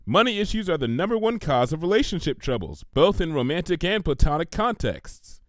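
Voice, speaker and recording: A man's voice: on the high side, big pitch swings, fairly quick, dry recording, studio quality. A man voicing a prim, bookish young female cartoon pony, earnest and precise as she lectures. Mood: prim, lecturing. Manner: lecturing, precise, matter-of-fact.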